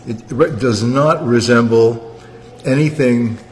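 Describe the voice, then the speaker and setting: A man's voice: medium pitch, slow pace, big-room sound, faint music behind. A man in his eighties from Canada, chatting casually and rambling, often ending sentences with "okay?". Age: 80s. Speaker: guest of honor on a family livestream. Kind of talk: casual chat.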